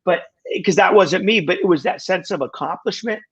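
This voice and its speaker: A man of about sixty, a guest in a casual conversation, talking in halting bursts with lots of restarts and wry self-deprecating jokes. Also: high-pitched, fairly bright tone, slightly rough, dull audio. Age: 60s. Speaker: podcast guest.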